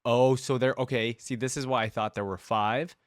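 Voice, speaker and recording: A man's voice: mid-range voice, fairly even intonation, normal speed, smooth, no echo, clean studio sound. A man hosting a reaction video, commenting casually and thinking aloud with lots of questions as he watches. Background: music from the watched video.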